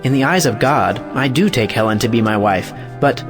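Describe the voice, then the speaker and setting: A man's voice: mid-range voice, lively intonation, normal speed, over music, lightly acted. Man playing a defiant, sardonic bridegroom. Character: defiant, cynical free-thinking bridegroom scorning convention. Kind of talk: audio stage play acting.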